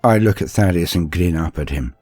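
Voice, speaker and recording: Man acting young male apprentice, earnest, introspective, first-person sci-fi story, low voice, faint music. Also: expressive, fairly quick, a little mellow, no room sound. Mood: relieved, wondering. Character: young male apprentice of twenty-one, earnest, wounded, deferential.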